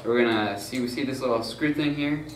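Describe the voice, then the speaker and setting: A young man walks through a gear setup in a relaxed, filler-heavy way. He is picked up from a distance in an echoey room. Steady background noise sits under his voice. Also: medium pitch, brisk. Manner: loose and informal, many fillers and "gonna go ahead". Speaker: student crew tutorial presenter. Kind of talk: casual how-to demonstration. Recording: roomy, far from mic, noisy.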